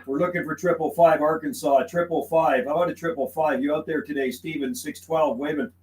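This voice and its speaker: A man's voice: a little high, steady tone, fairly quick. A man chatting casually as a CB radio operator on a livestream, folksy and repetitive, using radio slang. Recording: roomy sound with faint background noise.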